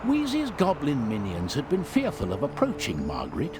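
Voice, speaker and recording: An actor playing a theatrical storybook narrator of a fantasy game tale. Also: male voice, a little high, huge pitch swings, normal speed, noisy background. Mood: storytelling.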